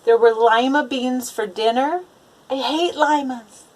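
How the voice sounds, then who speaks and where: A woman's voice: sits high, unhurried, voice pushed up. A woman voicing a grumbling young boy in a children's storybook, complaining emphatically with words spaced out. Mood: grumpy, fed up. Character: grumbling, aggrieved young boy narrating his awful day. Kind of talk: children's storybook read-aloud.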